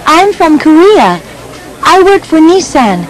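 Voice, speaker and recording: An actress playing an eager, friendly accountant in a scripted textbook dialogue. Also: female voice, very high-pitched, sing-song, slow, a faint crowd, full character voice, poor audio.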